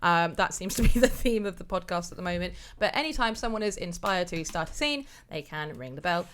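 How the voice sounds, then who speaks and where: A woman's voice: fairly low pitch, lively intonation, a little fast, fairly bright tone, slight background noise. A woman from Wales with a Welsh accent, co-hosting an improv podcast and explaining its rules in a chatty, laughing way. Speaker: co-host. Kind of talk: casual improv podcast chat. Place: studio room streamed on several cameras.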